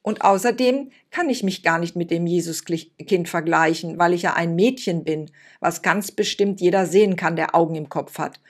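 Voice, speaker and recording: A woman's voice: fairly low pitch, moderate pace, hardly any pauses, lightly acted. A woman doing a feisty little girl. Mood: defiant. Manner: pleading, then defiant and loud.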